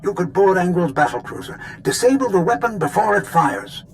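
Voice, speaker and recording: Animated, wordy scientist. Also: male voice, high-pitched, normal speed, a little hiss or hum. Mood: analytical. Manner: wordy, precise, technical. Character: clever, grumbling male scientist doctor. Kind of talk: scripted video game acting.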